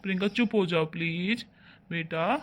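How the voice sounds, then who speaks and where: A man's voice: high voice, normal speed. A man playing a stern father who scolds his wife and comforts his daughter. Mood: tender. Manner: scolding loudly, then softening to coax. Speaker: actor in a family drama. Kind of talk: amateur family drama acting.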